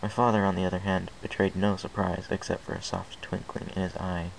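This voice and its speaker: A man narrates a first-person story with an earnest, unpolished delivery. His voice is very deep and carries a gravelly fry. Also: flat delivery, fairly quick, a little hiss or hum, low-quality recording. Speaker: fan fiction reader.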